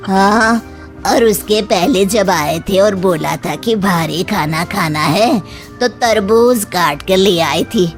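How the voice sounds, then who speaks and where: A woman's voice: mid-range voice, takes it slowly, soft background music. A woman voicing a frank, teasing older woman in a cartoon, blunt and drawling. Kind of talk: Hindi cartoon story dialogue.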